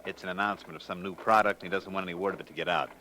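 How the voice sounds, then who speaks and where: A man's voice: low-pitched, steady tone, very fast, sharp tone. An actor playing a cocky crooked print-shop boss with boastful, slangy tough-guy talk in a 1940s radio crime drama. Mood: guarded. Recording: noisy old radio recording.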